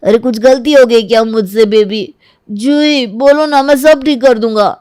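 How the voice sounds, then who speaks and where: A woman's voice: fairly high pitch, normal speed, darkish tone, smooth, no echo, studio quality, natural voice. A woman voicing a doting, earnest young fiancé in a cartoon, a coddling mama's boy. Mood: concerned. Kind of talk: Hindi cartoon story acting.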